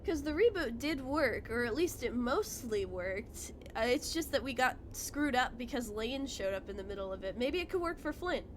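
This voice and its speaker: A woman's voice: fairly high pitch, animated, normal speed, few pauses, slight background noise. A woman hosting a let's play in casual, chatty commentary, her voice stuffy and sniffly from allergies. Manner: chatty, upbeat, rambling. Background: game music.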